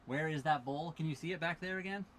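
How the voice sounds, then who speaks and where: A man's voice: fairly high pitch, quick pace, a bit bright, a little hiss or hum. A tired young man casually chatting on a livestream from his sailboat at a marina, reading viewer questions aloud and thanking followers. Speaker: livestream host. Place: sailboat cabin at a marina dock.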